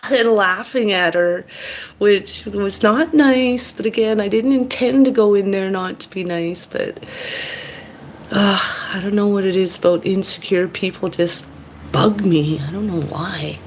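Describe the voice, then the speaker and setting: A woman's voice: mid-range voice, unhurried, slight background noise. A woman from Canada, a mukbang vlogger, telling a blunt, rambling story while she eats.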